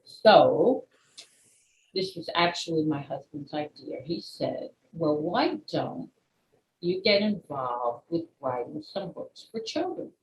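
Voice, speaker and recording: An older woman speaks as an interviewed author, explaining things in short, measured phrases with pauses. Her pace is unhurried. The room adds a clear echo, and there is noise in the background. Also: low voice, big pitch swings. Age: 60s or older. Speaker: children's book author and retired teacher being interviewed. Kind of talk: conversational interview answers.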